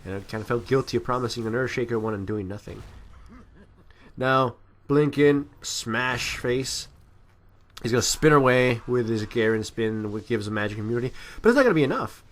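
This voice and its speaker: A man's voice: medium pitch, normal speed. A man giving casual, amateur video game commentary in a rambling, self-deprecating way.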